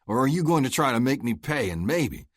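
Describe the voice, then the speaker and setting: A man's voice: mid-range voice, normal speed, no echo, studio quality, pitched above the performer's own voice, natural voice. A man voicing a cool bounty hunter who goads and taunts his foe. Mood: taunting. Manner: taunting, goading, steady.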